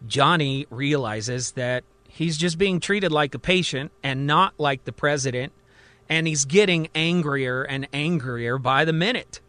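A man's voice: a little high, unhurried, pushed and bright, no echo. A man narrating a fiction podcast as its host, telling the story in a dramatic, suspenseful present tense.

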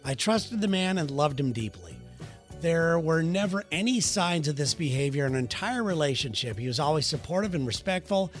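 A man's voice: expressive, moderate pace, faint music behind. Guy on a comedy podcast reading a letter, jokey and teasing, voice bounces around a lot. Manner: teasing, jokey, breaks into falsetto song. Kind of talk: joking podcast banter.

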